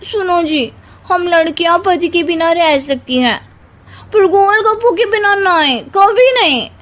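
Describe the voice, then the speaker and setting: Woman doing cartoon young daughter in law, super high voice, dramatic n argumentative, whines a lot. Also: animated, a little slow, fairly bright tone, heavily acted. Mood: defiant. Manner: melodramatic, argumentative. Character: young dramatic daughter-in-law, snack-obsessed, cheeky and whiny. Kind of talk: Hindi cartoon story dialogue.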